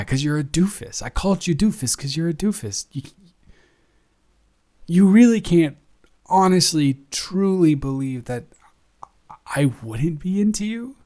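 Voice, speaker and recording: A young man playing a devoted, flustered roommate in an intimate, improvised audio roleplay, speaking in a halting, rambling, affectionate and teasing way. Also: fairly high pitch, warm tone. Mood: incredulous, teasing.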